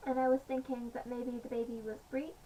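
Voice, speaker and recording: A woman with a slightly croaky voice, chatting casually in a home vlog with many pauses and fillers. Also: high voice, little pitch movement, warm tone. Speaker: vlogger. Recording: distant mic, faint background noise.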